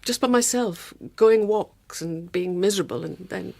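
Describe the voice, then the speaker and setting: An actress playing an emotional, remorseful, devoted wife in a radio drama, speaking in a halting, pleading way. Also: female voice, mid-range voice, creaky, mellow, close to an everyday voice. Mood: remorseful, relieved.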